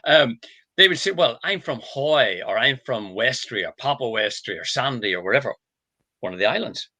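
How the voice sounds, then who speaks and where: A man's voice: mid-range voice, animated, normal speed, sharp tone. A man from Ireland with an Irish accent, explaining ideas thoughtfully with examples and frequent hesitations. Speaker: book author. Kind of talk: casual discussion.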